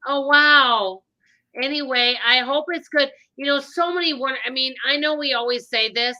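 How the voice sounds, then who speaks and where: A woman's voice: sits high, moderate pace, pushed and bright, dull audio. A woman with a Minnesota accent, hosting a casual live-stream chat in an upbeat, rambling way. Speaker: channel host.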